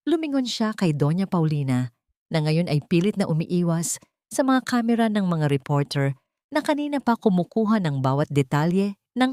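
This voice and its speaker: A woman narrator reading a scripted dramatic story in a measured, solemn, literary manner. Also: fairly low pitch, darkish tone, no room sound, clean studio sound.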